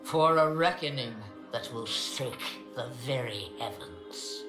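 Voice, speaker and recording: A woman's voice: rumbling low, lively intonation, takes it slowly, heavily acted. An older woman playing an ancient, commanding witch in a video game, theatrical, with grand and archaic phrasing. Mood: grandly mysterious. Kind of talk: dramatic video game acting. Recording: roomy reverb with music behind.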